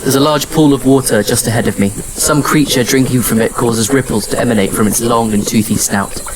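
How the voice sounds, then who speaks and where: A somber male wanderer played by an actor tells his science fiction story in the first person. His voice sits at a medium pitch, measured and reflective, with clear background noise around it. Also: lightly acted. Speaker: narrator and lead character in an audio drama. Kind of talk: first-person scripted narration.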